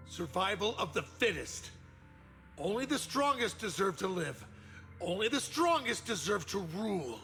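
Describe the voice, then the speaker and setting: A gravelly, sneering villain uncle. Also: male voice, sits high, pushed and bright, full character voice. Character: scheming older man, villainous family patriarch uncle. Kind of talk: video game voice acting.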